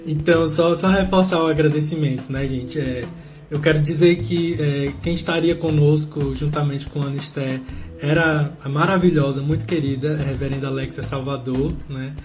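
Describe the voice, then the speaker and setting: Brazilian man co-host, earnest, fairly high voice, clear music bed. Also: steady tone, normal speed. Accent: Brazilian Portuguese. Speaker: podcast co-host.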